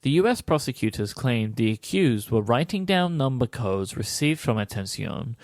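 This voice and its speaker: A man's voice: mid-range voice, big pitch swings, normal speed, darkish tone, no echo. A man co-hosting a podcast, reading scripted narration with some casual asides into a close mic. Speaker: podcast co-host.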